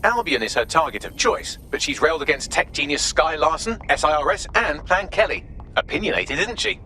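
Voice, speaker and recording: A dry, sarcastic AI. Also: male voice, a little high, sing-song, brisk, bright, forceful tone, slight background noise, full character voice. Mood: wry. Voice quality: dry, arch wit. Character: dry, sarcastic male AI assistant.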